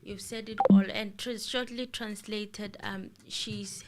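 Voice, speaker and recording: A woman co-hosts a casual talk show at a medium pitch. She passes on a story in plain, steady speech and backs the others up with short interjections. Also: slow, very noisy. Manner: relaying a story, supportive interjections. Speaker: co-host.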